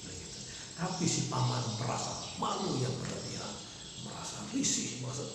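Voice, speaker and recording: A man telling a folk legend in a halting, repetitive oral storytelling manner. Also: mid-range voice, expressive. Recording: echoey room with loud background noise.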